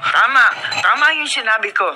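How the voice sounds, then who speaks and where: An actor in a radio drama playing a chatty male cousin who tells gossip in an animated way. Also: very high voice, normal speed, noisy background. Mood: gossipy. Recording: old radio broadcast with music.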